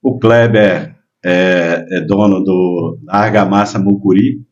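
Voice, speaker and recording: A man in his sixties from Minas Gerais, a guest telling his life story in relaxed, casual chat with frequent hesitations. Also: low voice, slow pace, highs cut off. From Minas Gerais, Brazil. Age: 60s. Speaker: guest, local businessman.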